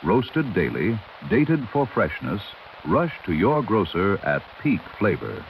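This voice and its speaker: A man reads the news as a 1930s radio announcer, with a low voice that rises and falls in lively swings. His delivery is formal and dramatic. The sound is dull and old-fashioned, with faint noise. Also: slow pace. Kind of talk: scripted 1930s radio newscast.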